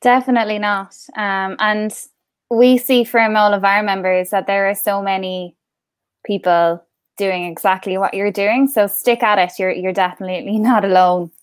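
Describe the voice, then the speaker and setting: A woman co-hosting a live Q&A, friendly and quick to laugh while reading out viewer questions. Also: medium pitch, steady tone, clear, resonant tone, no room sound, studio quality. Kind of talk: live stream Q&A.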